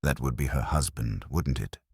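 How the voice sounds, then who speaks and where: Man playing a puzzled, deep-voiced constable. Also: moderate pace, a little mellow, slightly rough, dry recording, clean studio sound, heavily acted. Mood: bewildered. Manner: puzzled, earnest. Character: dutiful, literal-minded, bewildered male police constable.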